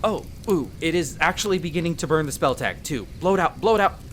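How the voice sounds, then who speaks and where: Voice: medium pitch, expressive, quick pace, a bit bright, a little hiss or hum, natural voice. A performer playing a friendly, protective young elf explorer in a roleplay audio drama, chatty and reassuring, speaking to the listener. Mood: excited.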